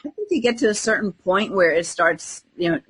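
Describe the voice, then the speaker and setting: A woman co-hosting a podcast as an energy coach, talking casually in a husky, breathy voice. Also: medium pitch, lively intonation, brisk, fairly bright tone, low-quality recording.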